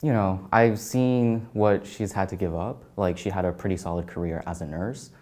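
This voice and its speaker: A young man in his early twenties with an American accent gives casual, reflective interview answers. His voice is very low and soft-edged, with a mellow, relaxed tone. He phrases things tentatively, often dropping in "like". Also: moderate pace. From Taiwan and California. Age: early 20s. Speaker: interviewee, graduate student.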